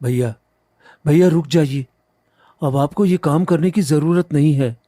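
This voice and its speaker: Man playing a mellow, earnest younger brother. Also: fairly high pitch, unhurried, no echo, clean studio sound. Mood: urgent. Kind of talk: Hindi cartoon story acting.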